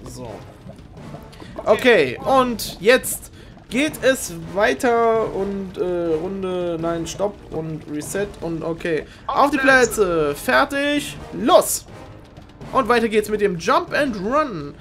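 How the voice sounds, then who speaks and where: A young man hosting a game show, giving informal, excitable live commentary on a video game race. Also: sits high, slow pace. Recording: music behind the voice.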